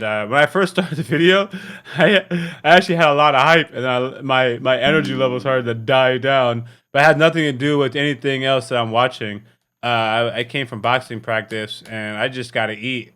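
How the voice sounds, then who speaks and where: A man's voice: mid-range voice, a little fast, sharp tone, smooth. A man hosting a reaction video, talking casually and candidly in a rambling, tired way. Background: music.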